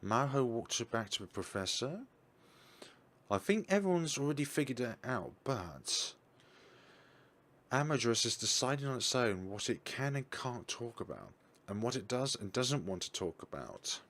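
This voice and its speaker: A man voicing a young woman researcher who explains things in a brisk, blunt way. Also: medium pitch, very animated, fairly bright tone. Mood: explaining.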